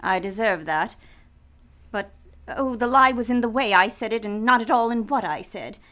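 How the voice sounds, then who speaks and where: An actress playing a manipulative femme fatale in trouble in an old-time radio melodrama, with a theatrical, pleading delivery. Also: female voice, fairly high pitch, expressive, normal speed, pushed and bright. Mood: anxious, evasive.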